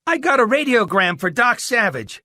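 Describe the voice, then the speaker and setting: A man's voice: extremely high pitch, sing-song, normal speed, noisy background, pitched above the performer's own voice. A man voicing an eager, proud young messenger boy with gushing, slangy enthusiasm, in pulp radio-drama style.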